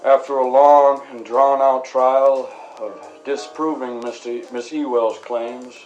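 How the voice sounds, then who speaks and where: A man playing a measured, principled lawyer and stern father in an acted radio interview, formal in his speech. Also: mid-range voice, little pitch movement, highs cut off, soft background music, lightly acted. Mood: measured, earnest. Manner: measured, formal, gently admonishing.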